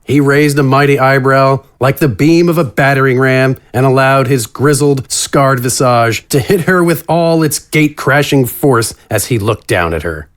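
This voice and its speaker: A man's voice: medium pitch, normal speed, hardly any pauses. Man narrating a fantasy adventure dramatically. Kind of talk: dramatic scripted story narration.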